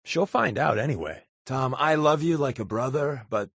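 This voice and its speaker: Wry, animated wisecracking secret agent. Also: male voice, medium pitch, moderate pace, vocal fry, breathy edge, dry recording, studio quality, exaggerated voice. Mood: wry, playful. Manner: sardonic, casual, joking. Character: wry, wisecracking adult male undercover agent. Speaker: voice actor playing a game companion. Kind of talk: video game character dialogue.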